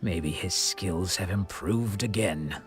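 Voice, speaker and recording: An actor playing a proud, bitter older swordsman in a video game, speaking in a measured, reflective way. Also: sits low. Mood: wary, surprised. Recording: loud game music behind the voice.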